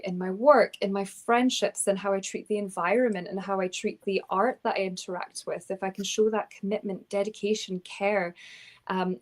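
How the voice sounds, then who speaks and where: A woman talks about her own life as an author guest in a conversational interview. She sounds earnest and searching, circling back and restarting phrases as she thinks. Her pitch sits in the ordinary middle range. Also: a little mellow.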